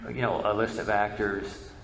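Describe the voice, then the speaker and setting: A man with a fairly low voice speaks in a roomy, echoing space with faint noise. He answers questions as a presenter, haltingly, often restarting with repeated words. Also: little pitch movement, creaky. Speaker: panel presenter, old-time radio researcher. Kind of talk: answering audience questions at a convention talk. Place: echoey convention meeting room.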